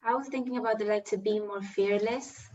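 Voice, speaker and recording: A woman's voice: mid-range voice, steady tone, a little fast, a little mellow. A woman casually sharing reflections as a workshop participant. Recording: slightly dull, lo-fi audio.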